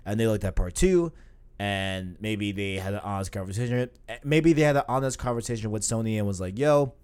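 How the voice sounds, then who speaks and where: Young male podcast co-host, early twenties, lively rambling delivery with a swinging pitch. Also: brisk, smooth. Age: 20s. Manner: animated, rambling, lots of filler phrases. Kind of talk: casual gaming podcast chat.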